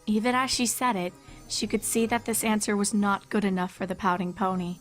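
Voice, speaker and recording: A woman narrates a story in a scripted, storybook style. Her voice sits at a medium pitch, with soft music playing underneath. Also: little pitch movement, fairly quick. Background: background music.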